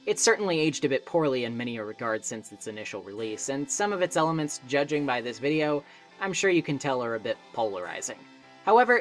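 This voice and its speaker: A man delivering scripted video essay narration in an emphatic, conversational and wry manner. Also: on the high side. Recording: music playing behind the voice. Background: background music.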